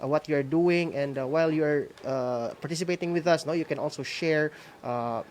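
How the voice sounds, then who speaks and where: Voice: medium pitch, normal speed, darkish tone, slight background noise. A Filipino radio-school co-host speaking casually and hesitantly, giving reminders to participants and often tagging phrases with "no?". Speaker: co-host of a school-on-the-air program.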